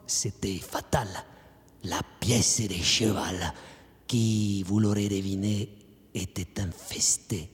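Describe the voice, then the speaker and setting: A man's voice: mid-range voice, darkish tone. An actor playing an eccentric, punning male concierge poet who talks in a drawling, theatrical way with whispered asides. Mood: sly, ironic. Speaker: interrogated suspect. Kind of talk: theatrical monologue acting. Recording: long reverb with faint music.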